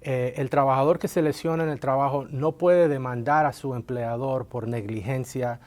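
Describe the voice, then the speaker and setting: A man's voice: medium pitch, fairly even intonation, normal speed, a little mellow. A man born in Colombia and raised in Miami, answering interview questions as a lawyer, hesitant, with many filler sounds. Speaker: workers' compensation lawyer, guest.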